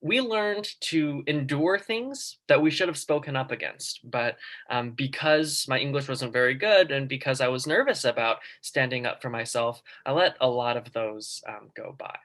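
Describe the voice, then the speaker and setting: Earnest young man with a bright voice. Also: medium pitch, normal speed. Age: young adult. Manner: earnest, personal storytelling with frequent ums. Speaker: student guest presenter. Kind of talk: class presentation.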